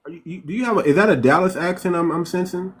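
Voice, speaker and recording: A man's voice: high voice, a crowd in the background. Casual male call-in host. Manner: repeats "okay" and "man", quick interjections.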